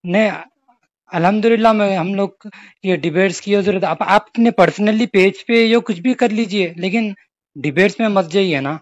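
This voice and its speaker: Man, Hyderabadi accent, high voice, confrontational, insistent, heated argument, dry recorded phone call. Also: moderate pace, no room sound. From Hyderabad, India. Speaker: phone caller.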